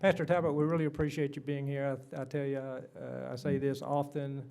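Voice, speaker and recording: Soft-spoken man chairing a council meeting. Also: mid-range voice, little pitch movement, a little fast, smooth. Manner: plain, easygoing, with frequent fillers. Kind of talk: formal meeting chairing, roll call. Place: council chamber.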